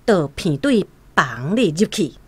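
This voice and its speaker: Slow, highly animated woman storyteller. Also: slightly rough, no room sound. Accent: Taiwanese. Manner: expressive, dramatic storytelling. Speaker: narrator. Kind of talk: literary radio drama storytelling.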